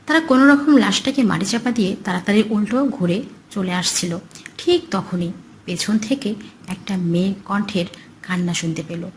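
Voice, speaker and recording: A woman's voice: medium pitch, normal speed, slight background noise. A woman reading a scripted horror story as its narrator.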